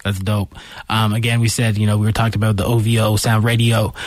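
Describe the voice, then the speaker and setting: Young man, interview host, casual, affirming, mid pitch, dry sound. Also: level tone, no room sound. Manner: easygoing, quick to affirm with "dope" and "mm-hmm".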